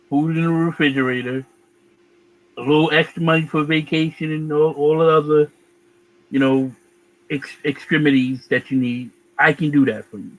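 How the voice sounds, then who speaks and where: A man's voice: a little high, fairly bright tone, low-quality recording. A man in a casual debate, putting questions in a colloquial, challenging manner.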